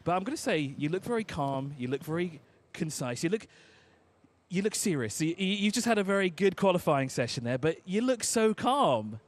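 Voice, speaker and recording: A man reporting live from an outdoor race pit lane, chatty and quick with joking asides. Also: sits high, very animated. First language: English.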